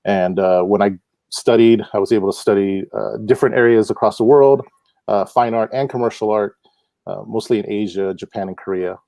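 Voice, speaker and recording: Middle-aged man from Hawaii, easygoing interview guest, low voice, dry sound. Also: brisk, creaky, no room sound. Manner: relaxed, laughs often, many fillers. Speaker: guest, comic book artist. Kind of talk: casual interview chat. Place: home.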